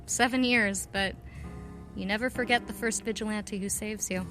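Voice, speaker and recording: A woman's voice: mid-range voice, steady tone, moderate pace, lightly acted, low-quality recording. A woman acting a bold, earnest, headstrong young vigilante in a pulp audio drama. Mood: admiring. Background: music.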